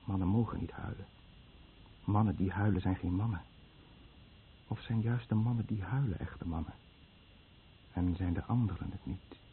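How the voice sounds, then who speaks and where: A man's voice: sits low, normal speed, pauses often, close to an everyday voice. An actor playing a solemn, guilt-ridden and stubborn Japanese boatman who faces death in a radio play. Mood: grave, sorrowful. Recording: muffled, noisy old radio play recording.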